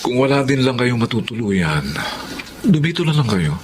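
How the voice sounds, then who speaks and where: A man's voice: medium pitch, very animated, normal speed, natural voice. A man playing a kindhearted, humble widowed working man who is a reformed drinker, in a Filipino radio drama. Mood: kindly. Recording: clear background noise.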